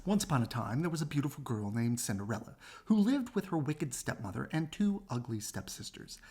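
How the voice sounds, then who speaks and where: A woman reading a children's story aloud in an animated, husky narrating voice. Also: rumbling low, brisk. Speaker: storybook reader.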